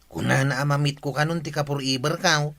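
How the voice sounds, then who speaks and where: A man's voice: a little high, fairly even intonation, brisk, vocal fry, a bit bright, no room sound. A man with an Ilocano accent playing a gullible, lovestruck, whiny villager in a radio comedy. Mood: hopeful.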